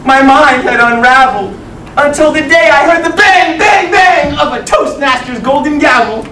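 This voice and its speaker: A young man giving a rhyming comic tall-tale speech in a theatrical, projected manner with dramatic pauses. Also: very high voice, expressive, pushed and bright. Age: young adult. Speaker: contestant speaker. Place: medium banquet meeting room, indoors. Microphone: distant camera microphone. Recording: echoey room, far from mic, noisy. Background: audience noise.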